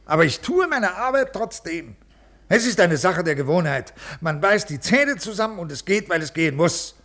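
An ailing, rule-bound male official in a 1950s radio drama. His voice sits a little high, and he speaks in formal, brusque, officious phrases, every bit the dutiful bureaucrat. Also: expressive, moderate pace, a bit bright, heavily acted. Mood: lecturing, dismissive. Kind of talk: 1950s German radio play acting.

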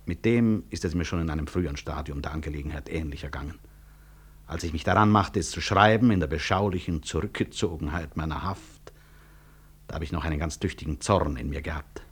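An actor playing a weary, proud physician-professor in a 1960s German radio drama, husky, reflective and articulate, with wry self-mockery. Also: male voice, extremely low pitch. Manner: reflective, articulate, deliberate stage delivery.

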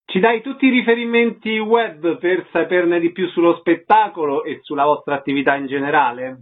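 A man hosts a radio interview, putting prepared questions and reading announcements. His voice sits fairly high for a man and sounds narrow and phone-like. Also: moderate pace.

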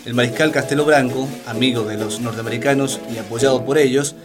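A man's voice: mid-range voice, fairly even intonation, brisk, natural voice. A man narrating scripted documentary radio theatre, with guitar music behind him.

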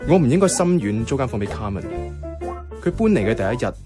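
An actor playing a mild, wry young man narrating his life as a reluctant flatmate in a Cantonese radio drama, with a Hong Kong accent and a casual, understated delivery. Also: medium pitch, fast, natural voice. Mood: wry, reflective. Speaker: narrator and lead character. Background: background music.

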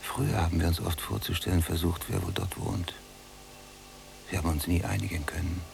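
An actor playing a brooding, embittered prisoner in a German radio drama, with a gravelly voice and a sardonic, often hushed delivery. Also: male voice, deep voice, steady tone, brisk, noisy background. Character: brooding, embittered male prisoner, younger cellmate. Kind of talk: German radio drama acting, literary monologue.

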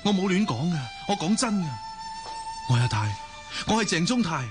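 Young Hong Kong man, lovestruck, urgent, widely swinging pitch, melodramatic radio acting, music underneath. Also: sing-song, normal speed. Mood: earnest, pleading. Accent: Hong Kong Cantonese. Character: ageless young man regaining past life, lovestruck, frantic. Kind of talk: Cantonese radio drama acting.

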